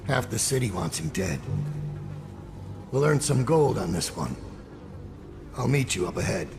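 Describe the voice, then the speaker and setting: Gravelly, grim master assassin. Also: male voice, sing-song, a little slow, over music. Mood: terse, businesslike. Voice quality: low gravelly voice. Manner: terse, brooding. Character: grim, weary middle-aged male master assassin. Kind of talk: video game voice acting.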